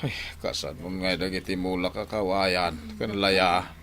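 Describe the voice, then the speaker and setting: A man's voice: deep voice, fairly even intonation, unhurried, fairly bright tone, slight background noise. An actor playing a cantankerous, suspicious old village man in an Ilocano radio drama, grumbling and exclaiming with an Ilocano accent.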